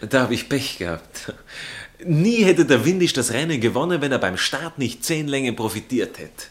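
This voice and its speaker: Snobbish Austrian sporting gentleman played by a male actor, condescending and nonchalant, very animated intonation, vintage radio drama. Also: medium pitch, normal speed, natural voice. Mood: breezy, boastful. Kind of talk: 1960s German-language radio play acting.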